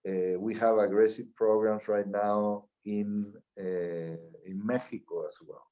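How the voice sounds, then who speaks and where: A middle-aged man from Peru with a Peruvian Spanish accent, answering interview questions in a measured way, often restarting and repeating words. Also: lowish voice, steady tone, dull audio. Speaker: mining company CEO.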